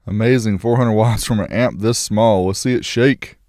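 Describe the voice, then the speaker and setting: A man's voice: lowish voice, normal speed, darkish tone, dry recording, clean studio sound. A man reviewing car audio gear, narrating a test in a matter-of-fact, casual, technical way.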